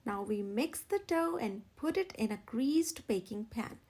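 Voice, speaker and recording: A careful, bossy turtle from a children's storybook, voiced by a woman. It speaks slowly in a high voice, giving firm instructions. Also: lively intonation, a little mellow. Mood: firm, instructive. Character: cautious, bossy turtle reading out a recipe, storybook.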